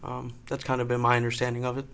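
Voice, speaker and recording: Man, tentative panelist, steady level voice. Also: mid-range voice, fast talker, gravelly. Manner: hedging, tentative. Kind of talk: casual panel discussion.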